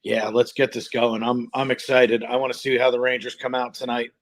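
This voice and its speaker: A man's voice: mid-range voice, little pitch movement, a little fast. A man hosting a live hockey stream, greeting viewers and chatting informally in a scattered, casual way. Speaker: live stream host calling hockey play by play.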